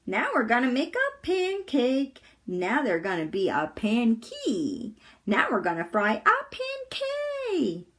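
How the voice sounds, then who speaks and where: A woman's voice: on the high side, wildly swooping pitch, takes it slowly, fairly bright tone, exaggerated voice. A woman voicing a cheerful, bold, eccentric young girl from a storybook, boastful and playfully emphatic. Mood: excited. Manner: playful, emphatic, matter-of-fact tall-tale telling.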